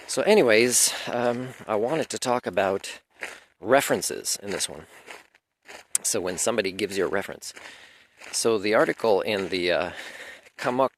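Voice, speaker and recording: A man whose first language is French, giving a rambling, aggrieved unscripted monologue and clearing his throat now and then. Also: on the low side, a little hiss or hum, rough recording. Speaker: video lecturer.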